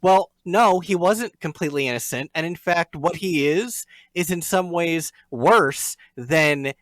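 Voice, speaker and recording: A man on a podcast offering wry, critical opinions in a measured way. His voice sits a little high. The recording is close and dry. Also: a bit bright, dry recording. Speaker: podcast guest. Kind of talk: casual podcast chat.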